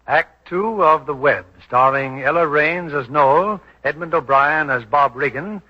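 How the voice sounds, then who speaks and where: A man's voice: fairly high pitch, a little slow. A man hosting and narrating a radio drama in a 1940s scripted broadcast style. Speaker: narrator and host. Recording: dull vintage radio recording, music behind. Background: music.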